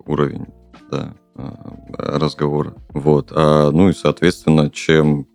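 A man's voice: rumbling low, monotone, unhurried, vocal fry, darkish tone, soft background music. A young man from Kazakhstan, a podcast guest talking casually and hesitantly, with many fillers and restarts. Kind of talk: casual interview talk.

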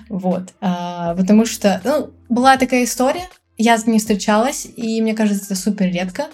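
A woman in casual conversation as a podcast guest. She speaks chattily, laughs often, fills pauses with hesitation sounds and acts out other people's lines. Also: medium pitch, a little mellow, soft background music. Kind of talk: casual chat.

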